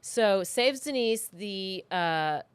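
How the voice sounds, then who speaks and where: A woman's voice: mid-range voice, animated, takes it slowly, clear, resonant tone, no room sound. A woman co-hosting a podcast, casually telling a true crime story in an informal, conversational way. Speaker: podcast co-host.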